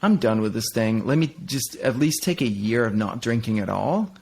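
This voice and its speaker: A man's voice: on the low side, animated, moderate pace, creaky, darkish tone, slightly rough. A middle-aged man from Denmark, talking candidly as an interview guest, with frequent restarts and fillers as he reflects on his life. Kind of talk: reflective personal conversation.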